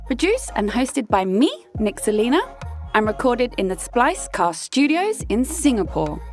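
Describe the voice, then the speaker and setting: A woman in her late thirties hosting her own podcast, talking candidly and emphatically in a rambling way, her voice hoarse. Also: fairly high pitch. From United Kingdom. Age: late 30s. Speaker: podcast host. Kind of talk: casual monologue. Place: podcast studio.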